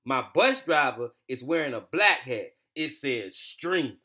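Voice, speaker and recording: A man's voice: medium pitch, animated, unhurried, bright, forceful tone. A young American man hosting a children's bedtime read-aloud in an informal, slangy way. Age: young adult. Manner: informal, slangy, addressing listeners familiarly. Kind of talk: casual chat to children and storybook reading. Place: small room at home, indoors. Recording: echoey room, distant camera microphone.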